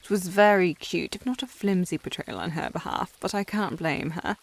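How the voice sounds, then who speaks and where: A woman's voice: fairly low pitch, normal speed. A woman playing a haughty young upper-class lady in a historical audio roleplay, formal and teasing in manner. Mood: arch, teasing.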